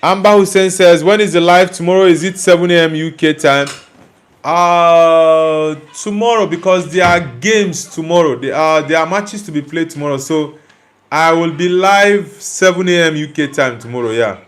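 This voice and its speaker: A man's voice: a little high, moderate pace, clear, resonant tone. A young man from Nigeria hosting a live stream, casually reading viewer comments aloud and answering them bluntly. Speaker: livestream host reading chat.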